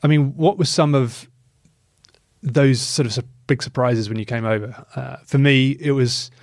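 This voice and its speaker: A man's voice: mid-range voice, normal speed, no room sound, clean studio sound. A middle-aged man hosting an interview podcast, talking in a friendly, loose way with many fillers. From England. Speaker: podcast host, mortgage broker.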